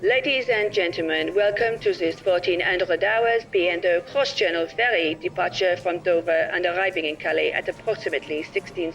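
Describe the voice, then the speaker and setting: Actor doing a deadpan ferry announcer in a radio play, formal, far from the mic, faint music. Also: mid-range voice, close to an everyday voice. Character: deadpan ferry tannoy announcer, cheerfully unhelpful. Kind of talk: scripted public announcement in an audio play.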